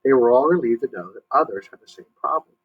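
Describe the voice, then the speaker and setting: Man reading a storybook, husky, muffled recording. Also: mid-range voice, lively intonation, quick pace. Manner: explanatory, checks in with questions. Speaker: storybook reader, teacher. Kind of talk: read-aloud storytelling with asides to young listeners.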